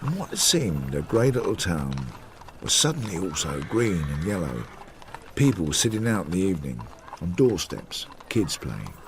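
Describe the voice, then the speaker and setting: Confiding detective narrator, radio play. Measured, low register, faint noise behind. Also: male voice, sing-song. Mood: reflective. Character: reflective male investigator narrating his inquiry, working man.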